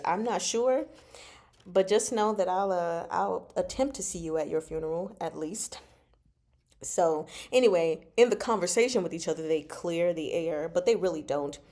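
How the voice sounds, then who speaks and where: Expressive woman in casual book chat. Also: on the low side. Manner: expressive, slangy, teasing, emphatic. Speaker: host of a book discussion livestream. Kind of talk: casual chatty book commentary with live chat.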